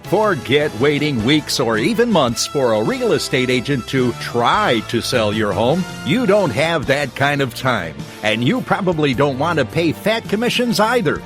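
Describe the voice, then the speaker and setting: A man announcing a commercial as a scripted sales pitch. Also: big pitch swings, unhurried, few pauses. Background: music bed.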